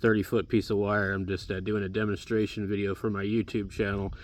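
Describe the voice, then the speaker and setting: A man's voice: on the low side, little pitch movement, brisk. An American man talking casually and plainly as a ham radio operator making a demonstration video in a backyard. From Arizona, United States. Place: outdoor backyard. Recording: noisy outdoor recording with radio static.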